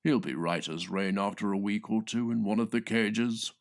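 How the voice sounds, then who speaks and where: Man acting a low, hushed, confiding sidekick. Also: moderate pace, close to an everyday voice. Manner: hushed, confidential, matter-of-fact. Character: discreet, earnest, confiding male gang member. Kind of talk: comic audiobook character reading.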